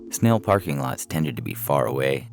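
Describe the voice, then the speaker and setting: A man's voice: a bass voice, animated, moderate pace. A man reading a humorous science fiction story as a scripted narration, with music playing behind him.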